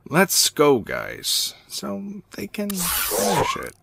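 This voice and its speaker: A man playing an earnest, grateful council member in a sci-fi audio drama, speaking haltingly. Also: high-pitched, wildly swooping pitch, gravelly, very noisy, heavily acted. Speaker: voice actor.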